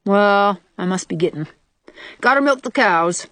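Woman acting lanky young male farmhand, blunt, rustic, stubborn, swinging pitch, dry close sound, storybook. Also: expressive, unhurried, bright, forceful tone, dry recording, exaggerated voice. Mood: stubborn, persuasive. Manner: abrupt, rustic folksy speech. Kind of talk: audiobook character voice.

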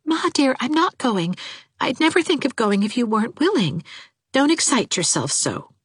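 A woman voicing a meek, gentle grown daughter in a storybook, pleading and soothing with resignation. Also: a little high, very animated, moderate pace, husky, no room sound, clean studio sound, pitched above the performer's own voice, full character voice.

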